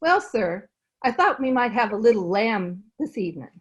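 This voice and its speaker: A woman's voice: on the high side, big pitch swings, moderate pace, slight background noise. A woman playing a folksy, outspoken household cook in an old-time radio comedy, colloquial and plain-spoken.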